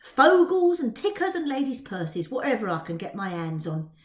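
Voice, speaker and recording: A woman's voice: a little high, sing-song, normal speed, reverberant. A woman voicing a cocky, street-smart Victorian boy pickpocket with a Cockney accent, bragging in ungrammatical slang in a story reading. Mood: proud, cheeky.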